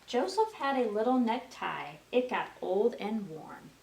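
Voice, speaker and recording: A woman's voice: fairly high pitch, big pitch swings, a little slow, vocal fry, darkish tone. A woman storyteller reading aloud to young children in a sing-song way, prompting her listeners with questions. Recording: roomy, distant mic with faint noise.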